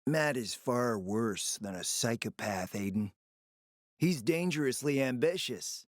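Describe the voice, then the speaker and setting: Male actor doing sly smooth-talking spymaster for a game, theatrical and urbane, pitch moves around a lot, dry recording. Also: lively intonation, measured pace, no room sound, natural voice. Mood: grave, conspiratorial. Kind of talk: video game voice acting.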